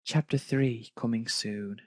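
A man's voice: mid-range voice, steady tone, slow, darkish tone, no room sound, studio quality. A man reading a story aloud and commenting on it casually in an incredulous, nitpicking way. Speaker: fan fiction reader and commentator.

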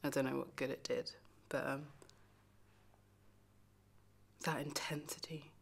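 A woman performing a dramatic monologue as a lonely, heartbroken young lover, confessional and emphatic, moving between hushed and forceful delivery. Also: rumbling low, little pitch movement, brisk, creaky, husky, exaggerated voice. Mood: wistful, intense. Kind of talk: dramatic stage monologue, self-taped acting showreel.

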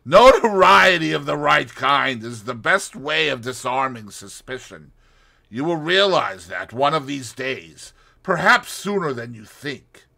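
A man's voice: medium pitch, vocal fry, pitched above the performer's own voice, full character voice. Man doing a cool, scornful schemer woman. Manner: slow, calm, scornful and measured.

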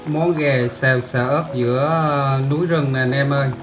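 A man's voice: mid-range voice, fairly even intonation, normal speed. Southern Vietnamese man vlogging over music. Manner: chatty, addressing viewers familiarly. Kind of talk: casual vlog narration.

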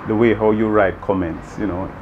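Deep-voiced Jamaican man teacher. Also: fairly even intonation, moderate pace, narrow, tinny audio, background noise. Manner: earnest, explaining with many "you know"s. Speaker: math teacher. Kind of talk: interview answers. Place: open veranda outdoors.

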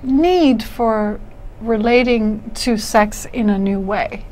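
A woman's voice: fairly high pitch, slow, darkish tone, a little hiss or hum. A young woman from Denmark, a native Danish speaker, talking openly and easily as an author guest on a studio talk show. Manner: open, laughing, easygoing. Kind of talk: studio interview chat. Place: small studio with dark curtain.

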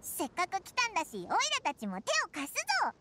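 A tiny cheeky fairy sidekick, squeaky and childlike. The voice leaps around wildly in pitch, blunt and eager, over prominent music in a fantasy game. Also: female voice, wildly swooping pitch, quick pace, heavy background noise, exaggerated voice. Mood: eager, helpful. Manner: blunt, boyish phrasing.

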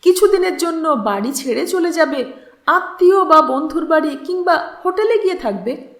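A woman's voice: high-pitched, expressive, moderate pace, reverberant, voice pushed up. A woman voicing a firm male mentor who admonishes and persuades, in a story reading. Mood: urging. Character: firm, reasoning older male mentor advising a young woman.

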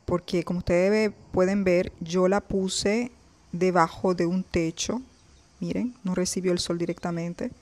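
A woman with a low-pitched voice talks through a casual gardening vlog. She speaks to her viewers as she films her plants close-up on a phone outdoors on a balcony, pointing things out one by one. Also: steady tone, unhurried, a little mellow. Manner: chatty, addresses viewers directly, points things out. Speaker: gardening vlogger.

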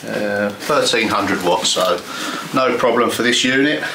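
A man's voice: fairly low pitch, unhurried, noisy background. A man, casually explaining his building plans step by step with plenty of fillers, filmed inside a stone outbuilding. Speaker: vlogger renovating a stone cottage. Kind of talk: casual walkthrough vlog.

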